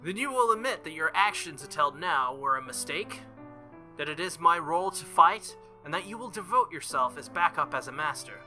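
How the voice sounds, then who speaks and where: A man plays an earnest young woman knight from a visual novel, formal and stern but caring. The voice is bright and forceful and moves in lively pitch, with faint music underneath. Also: normal speed. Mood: firm. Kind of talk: visual novel dialogue read aloud.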